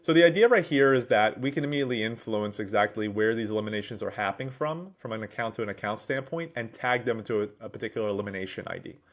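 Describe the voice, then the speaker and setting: A man with a fairly low-pitched voice walks through a piece of software on a screen share. He speaks as a presenter, explaining each step in a businesslike, wordy manner. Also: fast, few pauses. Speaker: presenter, managing director at a software consultancy.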